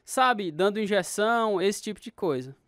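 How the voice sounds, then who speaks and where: A man's voice: high-pitched, expressive, normal speed, a bit bright. A man acting as a troubled, restless narrator telling his life story in a radio drama, with a Brazilian accent. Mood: reflective.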